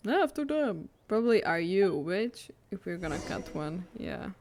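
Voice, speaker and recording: A woman's voice: lowish voice, big pitch swings, normal speed, a little mellow, a little hiss or hum. A woman livestreamer chatting casually while playing a video game. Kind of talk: casual gaming stream chat.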